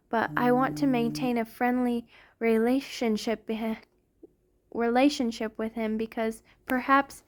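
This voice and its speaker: A woman plays an ancient vampire noblewoman with a fairly high voice. She speaks to her lover in genteel, formal phrases, affectionate and tender, in an intimate ASMR roleplay. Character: tender, aristocratic centuries-old female vampire lover.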